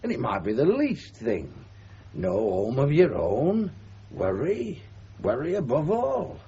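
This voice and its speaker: An actor playing a kindly, frank middle-aged uncle, gently probing and halting in a 1970s radio drama. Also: male voice, medium pitch, huge pitch swings, full character voice. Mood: reassuring, thoughtful. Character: kindly, frank uncle reassuring a young bride. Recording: dull, hissy 1970s radio broadcast.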